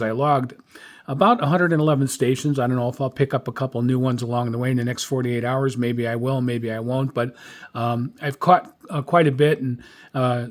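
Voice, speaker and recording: Man, medium pitch, halting speech, informal hobbyist log rundown. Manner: halting, frequent hesitations. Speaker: radio hobbyist host. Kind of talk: informal spoken log review.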